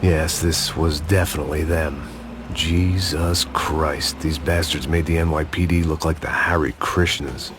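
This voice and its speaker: An actor with an American accent playing a weary, cynical middle-aged ex-cop in a game, narrating in a deadpan, sardonic noir voice-over. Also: male voice, rumbling low, unhurried, background noise. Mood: grim, sardonic.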